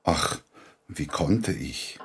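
An actor playing an ironic, self-deprecating language inventor, in a radio play. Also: male voice, rumbling low, lively intonation, extremely slow, fairly bright tone, breathy edge, heavily acted. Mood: wry. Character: wry, modest language inventor, a small man.

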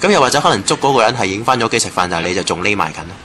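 A man's voice: lowish voice, animated, very fast, pushed and bright. A man with a Hong Kong Cantonese accent, casually sharing recollections as an interviewee. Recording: noisy ambient location recording.

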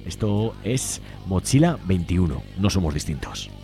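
A man's voice: low voice, expressive, a little slow, warm tone. A man with a Spanish accent from Spain casually presenting music as a radio host, informal and often ending phrases with a checking question. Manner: informal, frequently tagging phrases with "¿no?".

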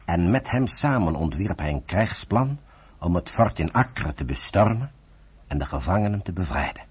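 A man narrating a radio drama in a scripted, dramatic style with a husky, rough voice. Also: low voice, animated, normal speed, darkish tone, full character voice.

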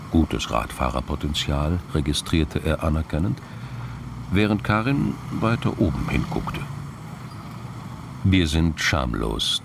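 Male narrator, very low pitch, gravelly, crime radio drama, noise behind the voice. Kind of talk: scripted literary narration.

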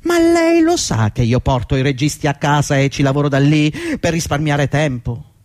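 A man's voice: a little high, sing-song, normal speed, a little mellow, no echo, clean studio sound. An actor playing a middle-aged, servile and agitated long-time employee pleading with his boss in a fretful, rambling radio drama performance. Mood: wounded, insistent. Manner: fretful, deferential, rambling and insistent. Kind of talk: theatrical radio drama acting.

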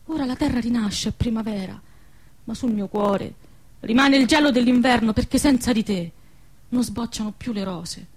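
An actress playing a lovesick young peasant woman in wartime, in expressive radio drama with a central Italian accent. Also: a little high, moderate pace, husky. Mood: tender, yearning. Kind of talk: stage-style radio drama acting.

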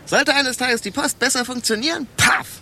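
An actor in a radio play playing a blunt, eager young city courier with a casual, slangy way of talking. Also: male voice, very high-pitched, moderate pace, bright, forceful tone, slight background noise, full character voice. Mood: chatty, wistful.

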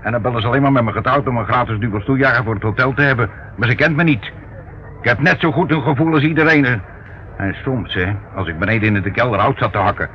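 An actor playing a small, evasive, henpecked crook who protests and pleads hesitantly in a radio play. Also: male voice, medium pitch, quick pace, close to an everyday voice. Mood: aggrieved. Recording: dull, faintly noisy old radio recording.

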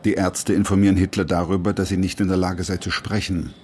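A man's voice: low-pitched, little pitch movement, brisk, darkish tone, natural voice. A man reading sober, scripted documentary narration in a radio play.

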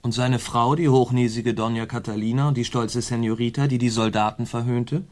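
A man acts a scheming male officer in a radio play. He speaks at a middle pitch, deferential on the surface and sly underneath, insinuating as he reports to his superior. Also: fairly even intonation, a little fast, a little mellow, natural voice. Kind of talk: German radio drama acting.

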